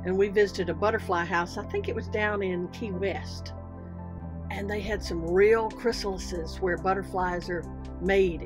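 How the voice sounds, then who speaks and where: A woman author reading their own children's science storybook aloud, explaining it expressively and with emphasis. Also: mid-range voice. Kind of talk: storybook narration with explanatory asides.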